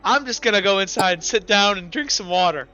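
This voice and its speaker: A man with an excitable, stammering way of talking, casually narrating a tabletop game as game master. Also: sits high, brisk, muffled recording. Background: faint music.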